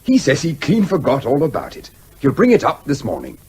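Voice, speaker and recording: An actor playing a dutiful, apologetic young English son in a radio comedy, polite, diffident and hesitant. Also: male voice, medium pitch, wildly swooping pitch, a little fast, darkish tone, breathy edge, exaggerated voice. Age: young adult. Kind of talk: radio comedy drama acting.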